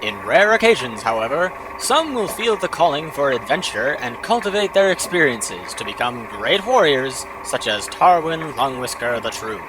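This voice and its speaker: Animated man reading fantasy lore. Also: medium pitch, normal speed, few pauses, noisy background. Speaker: narrator of a lore excerpt. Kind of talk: scripted formal encyclopedia-like fantasy narration.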